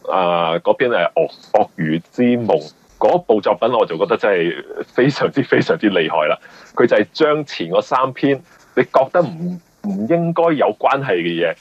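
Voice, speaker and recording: A man with a Hong Kong Cantonese accent speaks in a low, lively, swooping voice with a rough husk to it. He explains things haltingly in an interview, doubling back on his words. The radio recording sounds somewhat muffled. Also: no echo. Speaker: novelist guest.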